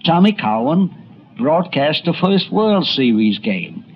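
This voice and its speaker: A man narrates a radio documentary in a lively, fairly high voice. The recording is dull and old-fashioned, with some music behind him. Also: takes it slowly. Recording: dull vintage broadcast audio.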